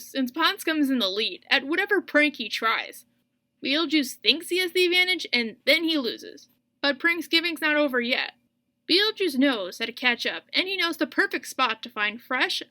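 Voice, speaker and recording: A woman's voice: high voice, sharp tone, dry recording. A young woman narrating a casual scripted video review, chatty and full of wry asides.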